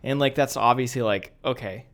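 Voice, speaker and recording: A man's voice: mid-range voice, fairly quick, a bit bright. A young American man co-hosting a podcast, chatting casually in a slangy, wry way. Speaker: podcast co-host. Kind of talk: casual chat.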